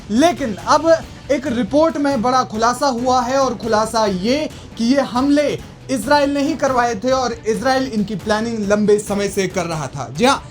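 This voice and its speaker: A man presenting scripted news narration in an emphatic, explanatory way. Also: very high-pitched. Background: background music.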